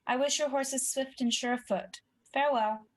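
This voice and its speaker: A woman's voice: sits high, fairly even intonation, normal speed, background noise, lightly acted. A young woman acting an ambitious, guilty usurper king in a Shakespeare radio play. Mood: courteous, guarded. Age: young adult. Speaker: student actor.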